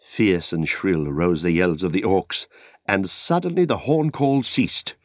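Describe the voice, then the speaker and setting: A man narrating an epic story as an audiobook, in a dramatic, solemn and measured literary style. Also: low-pitched, no echo, clean studio sound. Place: dry studio.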